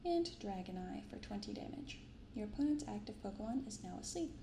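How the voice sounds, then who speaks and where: A soft-spoken woman hosting an ASMR unboxing, reading and commenting gently and without hurry. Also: mid-range voice, animated, brisk, reverberant, noisy background. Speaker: card unboxing video host. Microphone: binaural microphone close up.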